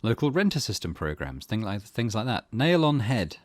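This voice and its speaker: A man gives let's play commentary on a narrative game. His voice is fairly low and very animated in pitch. The sound is dry and close. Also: moderate pace, no echo. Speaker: gaming channel host.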